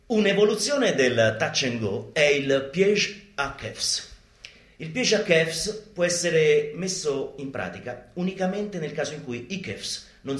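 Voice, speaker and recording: An actor playing a sardonic urban guerrilla instructor in a live radio theatre monologue, declamatory and mock-instructional. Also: male voice, medium pitch, a bit bright. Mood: emphatic, relishing. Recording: roomy live sound, faint noise.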